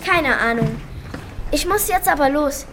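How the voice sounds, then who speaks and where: A woman's voice: sits high, expressive, measured pace, background noise. A woman playing a practical, encouraging school-age child in a German children's radio play.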